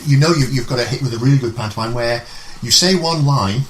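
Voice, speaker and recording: A man's voice: mid-range voice, quick pace, slight background noise. A man hosting a radio conversation, talking in a casual, conversational way. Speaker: radio show host. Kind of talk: casual chat. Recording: roomy echo, background ambience.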